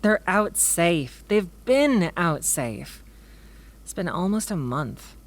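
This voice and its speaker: A woman's voice: lowish voice, big pitch swings, takes it slowly, heavily acted. A woman playing a stressed but caring partner in naturalistic audio drama dialogue, casual and candid. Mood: stressed, tired.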